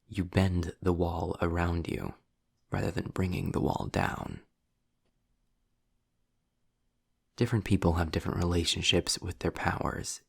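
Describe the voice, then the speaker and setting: A man playing a sarcastic, worldly demon in an intimate audio roleplay, speaking in a low, gravelly, breathy voice with dry, deliberate pauses, often slipping toward a whisper. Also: exaggerated voice. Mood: intent, instructive. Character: sarcastic, worldly male demon, trapped, grudgingly explaining.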